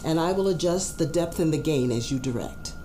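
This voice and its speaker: A woman's voice: low voice, animated, fairly quick, dark tone, soft background music. A woman playing a formal, courteous doctor giving instructions as an examiner. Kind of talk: scripted exam demonstration.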